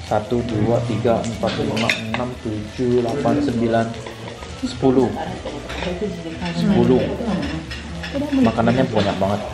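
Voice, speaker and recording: A young man talks casually to his handheld camera as a vlog host, full of exclamations. His voice sits at a middle pitch, with music clearly playing behind him. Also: a little slow, long pauses. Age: young adult. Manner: excitable, exclaiming. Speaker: vlogger, host. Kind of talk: casual travel and food vlog chat. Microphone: handheld camera at arm's length.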